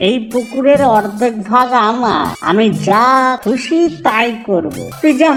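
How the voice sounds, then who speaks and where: Woman voicing high, stubborn cartoon village neighbour. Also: measured pace, faint music behind, lightly acted. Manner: defiant, taunting. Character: stubborn combative village neighbour, prawn-loving man. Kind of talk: cartoon story dialogue.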